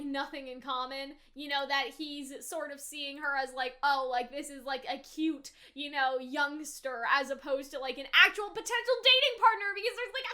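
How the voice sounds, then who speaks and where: A woman's voice: very high voice, pushed and bright. A woman hosting an anime reaction video, chatting casually and animatedly, sometimes acting out lines as asides. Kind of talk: casual chatty episode review.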